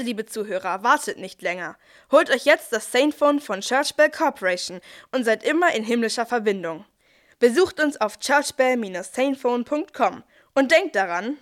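A woman playing the archangel Gabriel as a brisk divine manager and promoter in an amateur nativity audio play. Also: a little high, a bit bright. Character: Archangel Gabriel, busy divine project manager turned sales pitcher.

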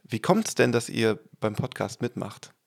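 Man, pastor, podcast host, gravelly, measured friendly questions. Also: medium pitch, expressive. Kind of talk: scripted podcast intro and interview questions.

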